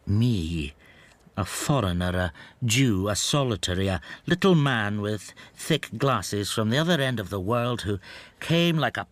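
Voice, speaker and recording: A subdued, wounded stamp dealer talks in a soft, brooding murmur. The voice sits a little low, in a radio drama style. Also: male voice. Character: timid foreign stamp dealer, quiet, wounded.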